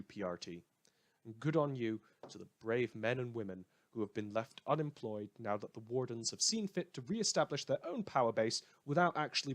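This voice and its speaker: A man's voice: medium pitch, lightly acted. A man playing a sardonic, mock-grandiose radio host turned self-appointed lawman in a scripted audio drama monologue, rambling and repeating himself. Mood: sarcastic. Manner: sarcastic, rambling, mock-grandiose, hesitant repetitions.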